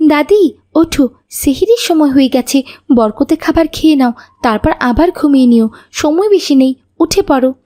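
A dutiful elder daughter from a moral cartoon. Her voice sits high and has a mellow tone. She speaks earnestly, guiding and instructing her family with care. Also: expressive, normal speed. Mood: urging. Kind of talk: cartoon voice acting.

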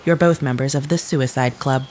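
A woman's voice: rumbling low, a little mellow. Actress as cold otherworldly woman, formal, precise, unsparing, audio drama, background noise. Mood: cold, accusing. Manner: measured, formal, precise diction.

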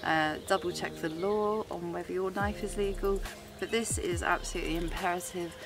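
A woman's voice: lowish voice, fairly even intonation, moderate pace. A woman in her thirties chatting casually and enthusiastically to camera as she hosts an outdoor vlog in the woods. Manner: enthusiastic, giggly. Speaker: vlog host, forager. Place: outdoors in a woodland.

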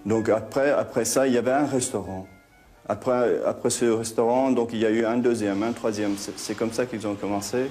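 A man speaking in a measured, explanatory way in a documentary interview, often repeating words as he goes. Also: on the low side, steady tone, warm tone. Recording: music playing under the voice.

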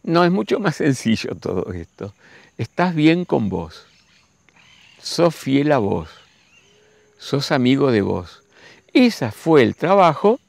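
A man, giving a slow, musing spiritual monologue and speaking straight to his listeners. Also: medium pitch, gravelly, no room sound. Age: 60s. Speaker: spiritual teacher.

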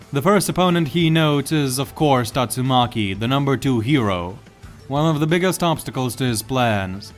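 A man reading scripted, dramatic story narration as the narrator. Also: mid-range voice.

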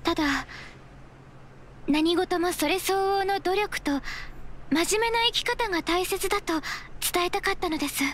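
A woman voicing a gentle, earnest immortal in training, speaking politely and formally in a game. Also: very high voice, a little slow, a little hiss or hum. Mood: gently admonishing.

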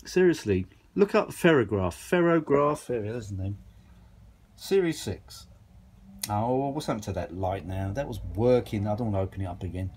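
A man's voice: on the low side, lively intonation, moderate pace, a little mellow, slight background noise. Rambling, enthusiastic man. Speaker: vintage audio enthusiast presenting his gear. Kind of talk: casual off-the-cuff chat, handheld demo. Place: carpeted room at home.

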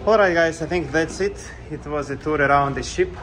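A man giving a casual walking tour of a cruise ship on a handheld phone, speaking simple, halting English with fillers. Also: fairly high pitch. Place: outdoor decks and indoor restaurants of a cruise ship. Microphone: handheld phone camera. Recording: loud wind and ship noise. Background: loud wind and ship ambience.

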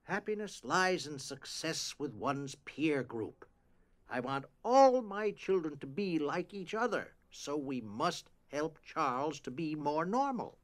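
Casting: male actor as pompous, formal, easily irritated schoolmaster, slightly high voice with very animated pitch, audio drama. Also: slow. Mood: self-important.

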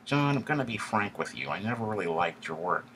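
A man's voice: lively intonation, a little fast, fairly bright tone, slight background noise. Man putting on a pompous, nasal corporate boss. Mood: cold disdain. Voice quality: twangy, nasal, pitched up. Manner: pompous, jargon-heavy, condescending. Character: arrogant, contemptuous male corporate vice president. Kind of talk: audiobook character dialogue.

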